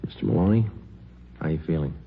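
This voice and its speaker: A man's voice: very deep voice, fast, slight background noise. A man playing a terse, businesslike police detective sergeant in a radio crime drama, speaking in short, matter-of-fact sentences. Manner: clipped, deadpan, matter-of-fact. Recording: muffled old radio recording, music behind.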